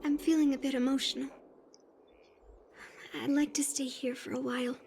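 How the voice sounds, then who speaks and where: An actress playing an earnest young swordswoman in a video game, speaking softly, politely and tenderly. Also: sits high, level tone, moderate pace, noisy background. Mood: tender, emotional. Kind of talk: scripted game dialogue. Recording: game audio with music behind.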